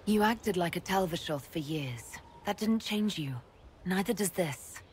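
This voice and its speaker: An actress playing a steady, caring female leader in a video game, speaking in a direct, firm way. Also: fairly low pitch, unhurried, slight background noise. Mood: firm reassurance. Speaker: Inquisition leader.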